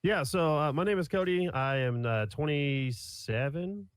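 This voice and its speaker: A man's voice: fairly high pitch, lively intonation, a little slow, clean tone, no echo. A man in his twenties from Kansas, a podcast guest chatting casually in a rambling, blunt way and swearing freely. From Kansas, USA. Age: 20s.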